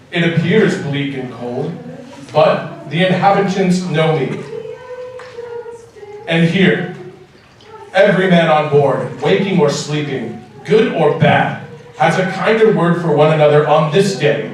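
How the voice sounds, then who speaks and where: A man's voice: on the high side, close to an everyday voice. Man as solemn ghost, echoing and distant. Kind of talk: live radio drama acting. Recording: very echoey stage, distant, faint noise.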